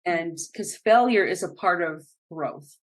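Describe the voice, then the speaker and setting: Hesitant, lower-voiced woman hosting. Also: expressive. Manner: hesitant, halting, searching for words. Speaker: podcast host. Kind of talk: casual, natural interview conversation.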